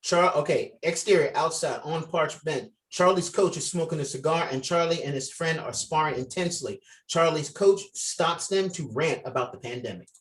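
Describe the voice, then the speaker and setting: A man's voice: on the high side, moderate pace, hardly any pauses, rough recording. A man casually giving direction as he leads an online table read of a film script. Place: video call.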